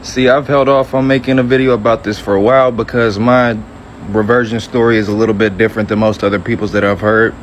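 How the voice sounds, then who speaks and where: A man, giving a measured, reflective personal testimony and often correcting himself. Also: fairly low pitch, flat delivery, a little hiss or hum. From Metro Atlanta, Georgia, USA. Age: early 20s.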